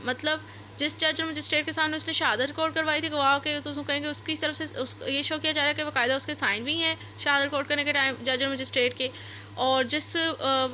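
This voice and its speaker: A woman's voice: high-pitched, pushed and bright. A woman with a Pakistani accent giving an explanatory law lecture, reading out passages and going over them step by step. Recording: noticeable background noise.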